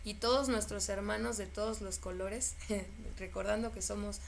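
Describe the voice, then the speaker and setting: A woman's voice: medium pitch, fairly even intonation, normal speed, gravelly, slight background noise. A woman hosting a conversation, speaking reflectively and repeating words as she gathers her thoughts. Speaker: host.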